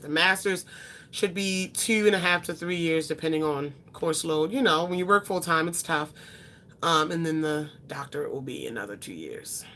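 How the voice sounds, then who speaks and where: A young woman in her twenties chats with her viewers, answering their questions off the cuff. Her voice sits low yet sounds bright and forward. She rambles a little, filling gaps with ums and correcting herself as she goes. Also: a little hiss or hum. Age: 20s. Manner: chatty, rambling, with frequent ums and self-corrections. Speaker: beauty vlogger.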